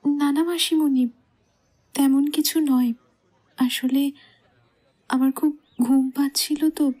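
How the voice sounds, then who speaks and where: An actress as a young woman in a family romance audio drama. Her voice is very high, with a gentle, dark-edged tone. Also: steady tone, slow. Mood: sleepy, meek. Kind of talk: audio story acting.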